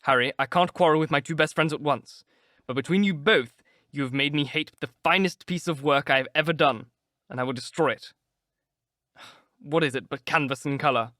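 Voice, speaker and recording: A man reading aloud, voicing a gentle, serious painter who speaks earnestly and pleadingly. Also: fairly high pitch, normal speed, dry recording, clean studio sound.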